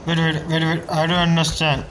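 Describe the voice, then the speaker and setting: A man's voice: fairly high pitch, completely flat delivery, fairly quick, a bit bright, a little hiss or hum, poor audio. A man streaming a video game with casual commentary, thinking aloud in a puzzled way.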